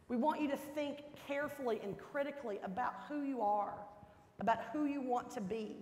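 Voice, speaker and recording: A woman hosting an orientation program, giving a welcome speech with deliberate, emphatic delivery in a hall. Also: a little high. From Texas resident, not from Texas. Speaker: program host. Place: large indoor auditorium stage. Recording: echoey hall, some background noise.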